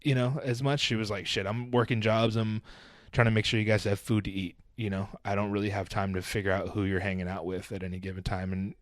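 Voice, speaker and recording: A man in his thirties with a lowish voice, chatting casually in a nonchalant, easygoing way. He swears freely. The sound is dry and close. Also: little pitch movement, fast, hardly any pauses, no room sound. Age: 30s. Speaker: guest. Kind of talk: casual chat.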